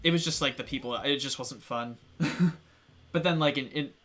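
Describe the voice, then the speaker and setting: A man's voice: mid-range voice, moderate pace, a crowd in the background. A young man hosting a stream, chatting casually with frequent laughter and filler words. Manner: laughs easily, lots of fillers. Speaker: stream host. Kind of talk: casual chat.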